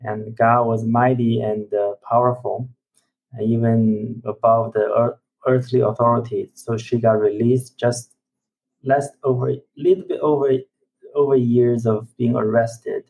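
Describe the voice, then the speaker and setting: A man from China, a ministry executive, giving an earnest personal testimony on a remote call, often pausing to search for words. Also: mid-range voice, fairly even intonation, vocal fry, a little mellow, rough recording.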